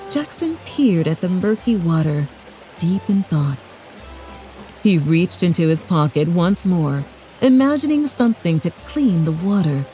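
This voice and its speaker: Animated woman narrating a children's story. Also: on the low side, measured pace, soft background music, poor audio. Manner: expressive read-aloud for young children.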